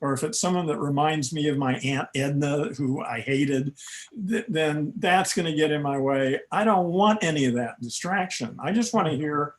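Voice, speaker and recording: A man who hosts a podcast, chatting casually on a video call, laughing often and filling in with quick yeahs. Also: a little high. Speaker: podcast host.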